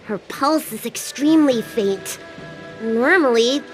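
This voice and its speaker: Bubbly little dragon girl healer for a game, high voice goes all over, fussy, music behind. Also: animated, takes it slowly, poor audio. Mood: curious, alarmed. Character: perky young girl dragon healer, eager and self-important. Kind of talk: video game cutscene acting.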